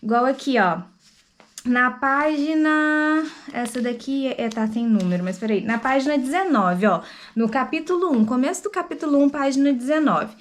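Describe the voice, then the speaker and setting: A woman's voice: sits high, moderate pace. A woman chatting to the camera in a casual book review and explaining things to her viewers. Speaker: book vlogger.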